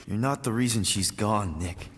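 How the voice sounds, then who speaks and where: Actor as gentle awkward man, low voice, halting earnest reassurance, game cutscene, long reverb. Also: close to an everyday voice. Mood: earnest, firm. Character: gentle, awkward man consoling a grieving child. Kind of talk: naturalistic video game cutscene acting. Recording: long reverb, clear background noise.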